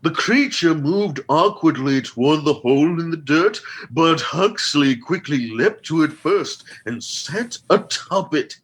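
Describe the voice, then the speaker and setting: Narrator: man, fairly high husky voice, animated and unhurried, scripted radio comedy storytelling.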